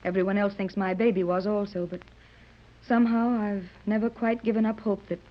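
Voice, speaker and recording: An actress playing a kindly, wealthy mother in a 1930s radio serial, gracious and gentle with poised, refined diction. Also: medium pitch, flat delivery, brisk, lightly acted. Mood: hopeful, sincere. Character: wealthy, kindly, hopeful mother seeking her lost daughter. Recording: muffled vintage 1930s radio recording.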